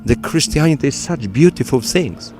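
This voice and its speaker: Earnest man, halting English. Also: medium pitch, lively intonation, darkish tone, soft background music. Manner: earnest, halting non-native phrasing. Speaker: campsite manager. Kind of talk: interview answer.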